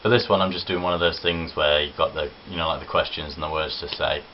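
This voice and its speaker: A man's voice: rumbling low, steady tone, fast. A young man from Nottinghamshire with a Midlands accent, chatting casually and self-deprecatingly in a home video vlog. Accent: English Midlands (Nottinghamshire). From Nottinghamshire, England. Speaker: vlogger. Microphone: camera on tripod. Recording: dull lo-fi webcam audio with faint hiss.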